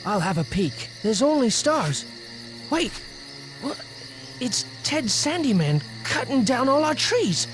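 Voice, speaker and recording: A man playing a simple, loyal, excitable hobbit servant in a fantasy video game, plain-spoken and emotional. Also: extremely high pitch, slow pace, faint music behind, heavily acted. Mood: curious, alarmed.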